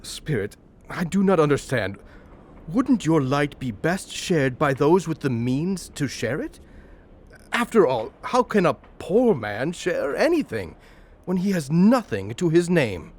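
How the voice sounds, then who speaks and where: A man's voice: on the high side, very animated, measured pace. A man playing a humbled old miser in an audio drama, questioning and earnest. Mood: puzzled, protesting.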